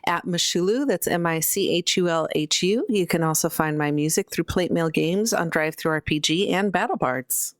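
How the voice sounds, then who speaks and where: Woman player with a really low voice, dead dry sound. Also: normal speed, few pauses, dry recording.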